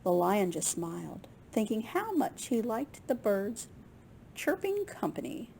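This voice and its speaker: A woman reading a picture book aloud to children in an expressive, unhurried way, with small asides to her listeners. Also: medium pitch, vocal fry, slightly rough. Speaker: children's storytime reader at a library.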